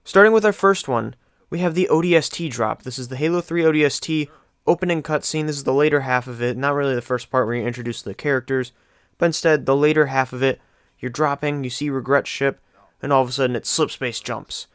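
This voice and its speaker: A man's voice: mid-range voice, fairly quick, clean tone, no echo, studio quality. A young man hosting casual video game countdown commentary in an enthusiastic, effusive way. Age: young adult. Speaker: video game channel host.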